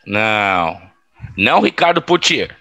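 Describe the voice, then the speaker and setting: An actor playing a teasing, foul-mouthed young buddy in an audio drama, raspy and mocking with slangy speech. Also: male voice, fairly low pitch, sing-song, takes it slowly, sharp tone, full character voice. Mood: incredulous.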